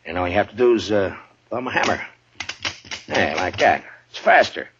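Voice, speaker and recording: An actor playing a weary, gruff frontier marshal in a 1950s radio western, speaking in a laconic, hesitant way. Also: male voice, on the low side, big pitch swings, normal speed. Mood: calm, laconic. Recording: muffled, noisy 1950s radio recording.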